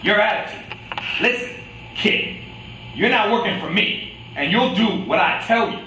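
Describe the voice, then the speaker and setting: A man's voice: high voice, lively intonation, unhurried, pushed and bright, exaggerated voice. A man in an amateur staged reading playing a scheming fight fixer, bossy and condescending, with a veiled menace. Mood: condescending, insistent. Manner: wheedling yet menacing, condescending. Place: echoey indoor room, staged reading. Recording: distant echoey room, faint noise.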